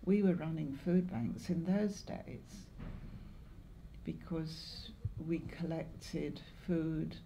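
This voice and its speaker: An elderly woman with an English accent recalls her past in an oral history interview. Her voice is lowish and notably soft-edged and dark. She speaks in a measured, halting way, pausing as she remembers, with a little noise behind her. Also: fairly even intonation, vocal fry, poor audio. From Lancashire, northwest England. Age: 70s or older. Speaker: interviewee, longtime community volunteer.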